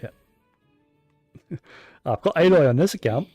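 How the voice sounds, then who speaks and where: A man's voice: fairly high pitch, lively intonation, a little slow, dark tone, dry recording, soft background music. A man giving casual, rambling commentary while playing a video game, with plenty of fillers and restarts. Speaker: gaming streamer.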